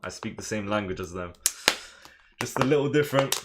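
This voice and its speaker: Lower-voiced excitable chess streamer man. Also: fairly quick, noisy background. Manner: excitable, slangy, chatty with viewers. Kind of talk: casual live game commentary.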